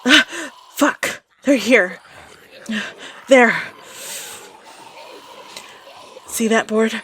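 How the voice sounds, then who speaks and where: A woman's voice: on the high side, very slow, a bit bright, slight background noise. A woman playing a desperate, protective zombie outbreak survivor in an audio drama, giving urgent, clipped commands. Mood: urgent, tense.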